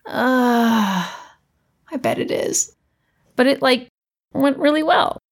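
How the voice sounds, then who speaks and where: A woman's voice: high-pitched, animated, slow, heavily acted. A woman playing a sarcastic, rambling teenage sound editor in a comedic audio drama. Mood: reflective, rambling. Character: teenage sound editor hosting a show, sardonic, self-doubting rambler.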